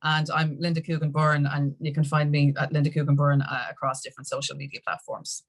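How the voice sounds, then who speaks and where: A woman with an Irish accent speaks in a deep register for a female voice. She talks conversationally as a podcast guest, circling through her points in a halting, rambling fashion. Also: completely flat delivery. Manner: rambling, halting, with many "you know"s. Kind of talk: conversational interview.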